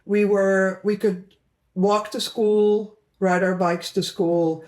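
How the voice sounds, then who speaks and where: Woman, middle-aged, from Belgium, interview guest, casual storytelling, medium pitch. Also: fairly even intonation, takes it slowly, a little mellow. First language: Flemish. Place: indoor home room, video call.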